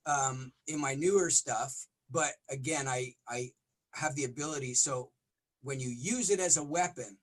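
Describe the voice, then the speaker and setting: A middle-aged man, a rock vocalist, talking in a casual interview with a smoky, raspy voice and lots of restarts. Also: mid-range voice, normal speed, rough recording. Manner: rambling, frequent restarts and fillers.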